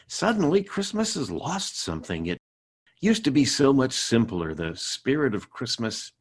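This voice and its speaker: A man's voice: medium pitch, sing-song, normal speed, slightly rough. A man playing an exasperated middle-aged father in a radio sitcom, American, stammering with sarcastic indignation. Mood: wistful indignation. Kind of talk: live radio sitcom acting.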